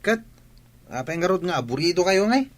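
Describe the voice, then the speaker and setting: A woman's voice: lowish voice, very animated, a little fast. A woman with an Ilocano accent acting a whiny, demanding student pestering a parent for money in a radio drama. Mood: irritated.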